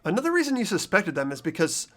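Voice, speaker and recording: A man's voice: sits high, huge pitch swings, fast, a bit bright. A man reading the narration and inner thoughts of a game's protagonist in a fan playthrough. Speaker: narrator and player.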